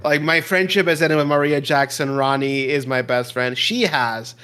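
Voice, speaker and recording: A man co-hosting a podcast in casual chat, excitable and gushing, often restarting his sentences. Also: on the high side, moderate pace, smooth. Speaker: podcast co-host.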